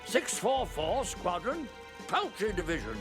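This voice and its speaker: An actor voicing a pompous, blustering old British rooster with formal military airs in an animated film. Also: male voice, high voice, a little slow. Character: pompous old male rooster, former air force mascot. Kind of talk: animated film character acting. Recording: loud music behind the voice.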